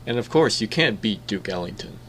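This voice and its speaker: A man's voice: fairly low pitch, expressive, fairly quick, a bit bright. A man playing a laid-back young swing music buff in an audio drama, casual and teacherly. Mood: friendly, quizzing.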